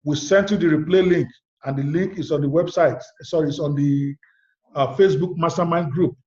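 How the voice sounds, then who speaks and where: Man, online class instructor, direct and chatty, slightly high voice, dull lo-fi audio. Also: steady tone, moderate pace, a little mellow. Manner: direct, chatty, spells out web addresses. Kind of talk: live web class teaching.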